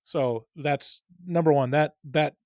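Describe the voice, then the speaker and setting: A man's voice: a little high, steady tone, slow pace, darkish tone, no echo, studio quality. A man co-hosting a podcast, talking casually and tentatively as he thinks aloud. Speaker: podcast co-host.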